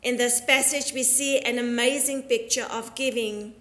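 A middle-aged woman, an Anglican priest delivering a prepared sermon in a measured, deliberate, earnest way. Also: a little high, steady tone, fairly bright tone. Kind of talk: sermon, read from prepared text. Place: inside a church, at the altar. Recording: echoey church acoustic.

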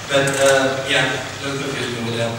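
A man's voice: medium pitch, flat delivery, moderate pace. A middle-aged man from Belgium, not a native English speaker, giving a chatty technical conference talk to an audience and asking them questions. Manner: chatty, frequent ums, asks audience questions. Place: large lecture hall with audience. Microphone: distant room recording of a speaker on stage. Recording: echoey hall recorded from far off, noisy.

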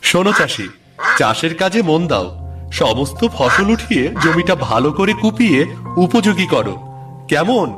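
Man voicing a wise magical swan, lively pitch. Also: distant mic, music in the background. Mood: instructing. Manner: instructive, measured, advising. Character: wise, calm magical talking swan guiding a farmer. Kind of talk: Bengali animated fairy-tale dialogue.

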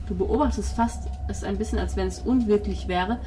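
A woman narrating a tabletop roleplay as game master, with suspenseful, drawn-out storytelling and pauses. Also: mid-range voice, dark tone. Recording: noisy tabletop session recording.

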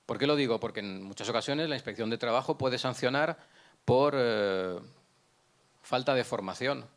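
A man's voice: medium pitch, moderate pace. A middle-aged man giving a conference lecture as a prosecutor, holding a microphone, explaining step by step and asking himself questions, with frequent restarts. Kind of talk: lecture with slides. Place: conference room, indoors. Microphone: handheld microphone.

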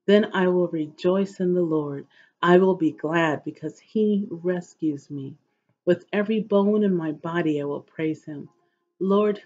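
A middle-aged woman reading scripture aloud in a measured, solemn, devotional way, with a husky voice. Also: lowish voice, darkish tone. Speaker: host of an inspirational reading series. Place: living room at home, indoors. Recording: dull, lo-fi webcam audio.

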